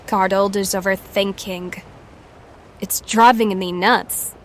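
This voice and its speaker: A woman's voice: medium pitch, fairly even intonation, extremely slow, slight background noise. An actress playing an anxious young woman survivor in an audio drama. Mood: distressed.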